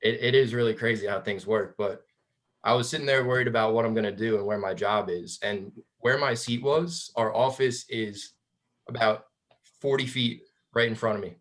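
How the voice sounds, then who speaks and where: Halting low-voiced young panelist. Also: male voice, steady tone. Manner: halting, repeats words, upbeat advice. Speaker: alumnus panelist. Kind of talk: webinar panel answer.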